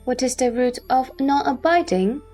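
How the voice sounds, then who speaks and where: Earnest questioning bodhisattva , female performer, high-pitched, formal scripture drama delivery over faint music. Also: normal speed, dark tone. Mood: earnest inquiry. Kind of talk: audio drama sutra recitation.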